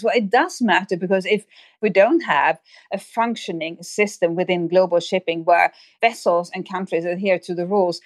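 A woman with a slightly low voice, recorded close and dry. She talks as an expert guest in an analytical discussion, often doubling back on words as she shapes her point. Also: dry recording.

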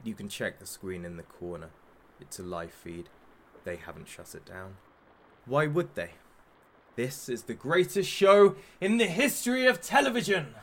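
A man's voice: medium pitch, big pitch swings, moderate pace. An actor playing a masked hostage-taker who runs a talk show, gleefully cruel, sarcastic and showman-like, in an audio drama. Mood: smug menace.

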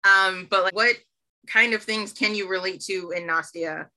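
A woman's voice: mid-range voice, moderate pace, bright, forceful tone, poor audio. A woman hosting a casual interview and asking loose, profane questions full of fillers. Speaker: host and writer.

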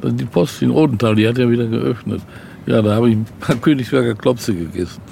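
A man's voice: medium pitch, a little fast, vocal fry, dark tone, slight background noise. An older man, a novelist, chatting in an interview and rambling through anecdotes. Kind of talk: casual interview chat.

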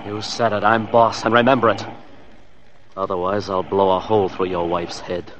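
Actor as a low-voiced, menacing gunman. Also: little pitch movement, normal speed, close to an everyday voice. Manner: tough, curt, threatening. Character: menacing gunman, an escaped killer hijacking a car. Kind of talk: 1940s radio thriller acting. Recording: muffled, noisy old radio recording.

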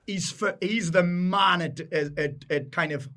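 A Geordie man with a deep, loud voice and a blunt, matey way of talking. He chats casually as a guest, swearing freely. His voice sits a little higher than most men's. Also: a little slow. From North East England. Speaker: podcast guest. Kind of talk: casual chat.

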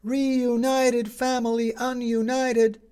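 A man voicing a young boy newspaper seller who shouts headlines and talks back boldly. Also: very high voice, little pitch movement, takes it slowly, clear, resonant tone, voice pushed up. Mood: shouting headlines.

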